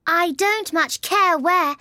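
A man's voice: extremely high pitch, takes it slowly, sharp tone, no echo, studio quality, voice pushed up, full character voice. A man voicing a prim, earnest little girl in a storybook reading, with polite, carefully proper speech and a scolding streak. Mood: timid, polite.

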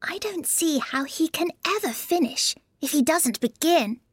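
A man voicing a polite, curious young girl in a very high falsetto. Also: lively intonation, a little slow, no room sound, studio quality. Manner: prim, earnest questioning.

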